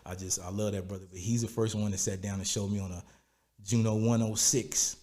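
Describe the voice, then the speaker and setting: A raspy-voiced man, a music producer and singer, telling stories in a relaxed, rambling way in a podcast interview. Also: lowish voice, fairly quick. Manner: relaxed, rambling, repeats "you know what I'm saying". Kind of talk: casual interview storytelling.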